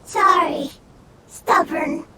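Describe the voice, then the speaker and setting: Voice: very high voice, extremely slow, very noisy, exaggerated voice. An actor voicing a small childlike creature in a video game, speaking in simple, broken phrases. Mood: plaintive, sincere. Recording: loud game music behind.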